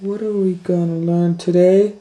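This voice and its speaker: A man voicing an anxious little schoolgirl from a storybook, whiny and pleading in a raised pitch. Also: level tone, unhurried, mellow. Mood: timid, hesitant. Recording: roomy echo.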